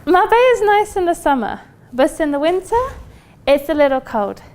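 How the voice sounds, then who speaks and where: A woman's voice: sits high, big pitch swings, moderate pace, a little mellow. A woman with a British accent giving an informal, chatty workshop presentation to an audience as a company recruiter. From United Kingdom. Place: lecture room at a university.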